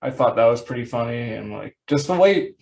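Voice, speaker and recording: A man's voice: medium pitch, expressive, fairly quick, vocal fry, a little mellow, low-quality recording. A young man hosting a casual interview, easygoing and leaning on lots of "like" fillers. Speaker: podcast host.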